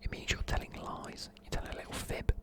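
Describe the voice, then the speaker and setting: A man, whispering softly in a chatty, rambling way while explaining words. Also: fast talker. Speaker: ASMR creator. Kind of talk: whispered ASMR explaining slang.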